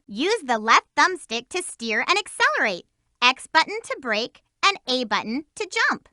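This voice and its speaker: A woman's voice: high voice, bright, forceful tone, dry recording, heavily acted. A woman voicing a spirited, bossy teenage girl hedgehog in a video game, delivering hints in an upbeat, emphatic way, recorded close in a studio. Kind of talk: game voice lines and tutorial hints.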